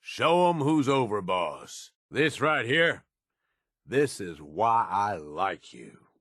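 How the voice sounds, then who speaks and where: A man voicing a cynical, ruthless raider henchman in a video game, with a rough husky voice and a folksy, slangy, sardonic drawl. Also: lowish voice, big pitch swings, slow pace, a bit bright, no room sound, clean studio sound, heavily acted. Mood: approving, gleeful. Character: gruff cynical ruthless male raider henchman in a post-apocalyptic wasteland.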